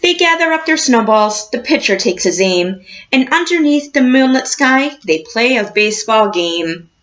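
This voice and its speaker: A woman reading a picture book aloud like a teacher, expressive and encouraging, addressing young children. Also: on the high side, normal speed, dull audio. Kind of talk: storybook read-aloud with questions to a class.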